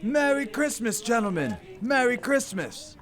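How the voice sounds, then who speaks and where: A man playing a reformed old miser in an audio drama, giddy, warm and exclamatory, often repeating himself. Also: very high-pitched, big pitch swings, unhurried, heavily acted. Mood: joyful. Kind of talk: theatrical audio drama acting. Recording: music playing behind the voice.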